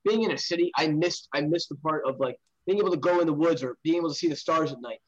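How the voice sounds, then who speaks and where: A man's voice: a little high, quick pace. A blunt, slangy man chatting casually and repeating himself, recorded with dull lo-fi sound. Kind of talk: casual chat.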